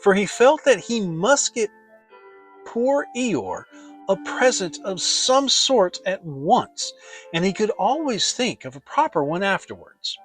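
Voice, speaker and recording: Lively, high-pitched male storybook narrator. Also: takes it slowly, faint music behind, rough recording. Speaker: audiobook narrator. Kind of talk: dramatic children's storybook reading.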